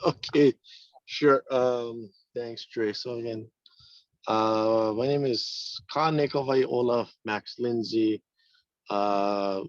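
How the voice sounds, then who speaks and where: A man from Hawaii introducing himself on a video call, halting, with many ums. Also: medium pitch, no echo, highs cut off. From East Honolulu, Hawaii. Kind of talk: casual self-introduction on a call.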